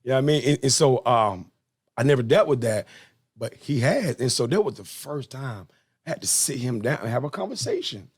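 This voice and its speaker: A man's voice: mid-range voice, expressive, fairly quick, husky. A man hosting a casual chat interview, talking informally and colloquially and often repeating himself. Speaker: podcast host, interviewer.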